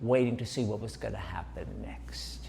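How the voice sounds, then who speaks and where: A man's voice: on the low side, normal speed, vocal fry, warm tone. A man performing a one-man comic storytelling show on stage. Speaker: solo performer. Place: gallery stage.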